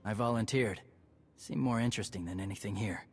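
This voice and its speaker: A man's voice: fairly low pitch, brisk, a little hiss or hum, close to an everyday voice. An actor playing a laconic young male warrior hunter with a dry, understated delivery.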